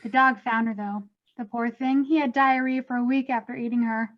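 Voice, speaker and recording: Casting: woman as doting middle-aged cartoon ghost mom, chatty and fussy, high register, slightly muffled recording. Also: steady tone, fairly quick, close to an everyday voice. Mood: chatty fussing. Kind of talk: animated comedy table read. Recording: dull lo-fi microphone.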